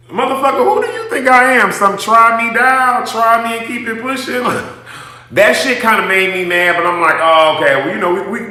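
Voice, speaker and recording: A man telling a personal story to his viewers in a sassy, dramatic, animated vlogging style. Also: a little high. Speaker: YouTube vlogger. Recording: very echoey, roomy sound.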